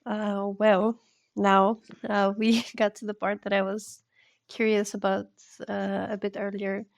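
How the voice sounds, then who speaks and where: A woman's voice: medium pitch, little pitch movement, unhurried, vocal fry, dry recording. Woman hosting a casual podcast interview. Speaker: podcast host.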